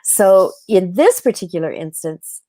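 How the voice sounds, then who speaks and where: A woman's voice: on the low side, lively intonation, a little slow, dark tone, no echo, low-quality recording. A woman speaking as an interview guest in a reflective, conversational style, with an earnest, thoughtful way of explaining things.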